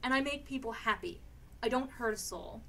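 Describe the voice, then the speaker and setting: Some clear noise sits behind a woman acting an indignant sister in a radio play. Her voice is bright and sharp, and she speaks emphatically, as one who scolds and defends herself. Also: a little high, moderate pace. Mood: defiant. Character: indignant, defensive sister standing up to bullying brother. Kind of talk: live amateur radio theatre acting. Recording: clearly noisy amateur radio drama recording.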